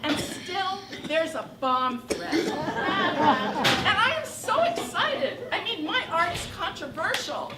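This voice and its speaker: A woman in her sixties from Northern California telling a wry, animated comic monologue on a theater stage. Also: very high voice, slow pace, hardly any pauses, over a noisy crowd. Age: 60s. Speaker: solo performer. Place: theater stage with live audience. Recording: echoey room from far off, noisy.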